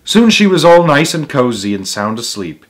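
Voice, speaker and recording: A man's voice: mid-range voice, sing-song, normal speed. A man reading a children's story aloud as its host, with emphatic delivery and casual asides.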